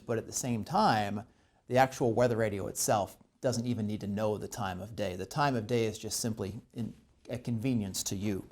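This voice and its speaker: A man's voice: mid-range voice, darkish tone, slight background noise. An American man presenting an instructional tutorial, explaining each step methodically and stressing the key points.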